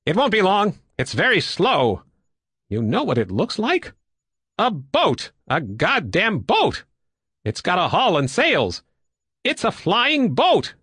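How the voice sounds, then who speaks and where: A man reading an audiobook and voicing a brisk, excitable, blunt and curious pilot with emphatic delivery. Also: high voice, breathy edge, no echo, studio quality, voice pushed up, heavily acted. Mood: excited, incredulous. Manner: exclamatory, emphatic. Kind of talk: audiobook character dialogue.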